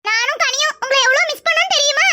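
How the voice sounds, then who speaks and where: A woman's voice: very high-pitched, quick pace. A woman voicing a cheeky, stubborn young cartoon girl with an insistent, childlike manner and a southern Tamil Nadu accent. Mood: pleading. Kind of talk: cartoon character dialogue. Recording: very loud background noise.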